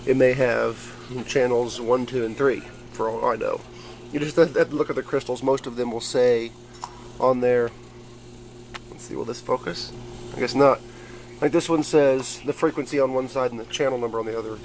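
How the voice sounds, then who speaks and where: A man's voice: mid-range voice, steady tone, moderate pace, a little mellow. A man giving a casual, rambling show-and-tell of his gadgets, explaining things informally as a hobbyist. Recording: clearly noisy amateur camera audio.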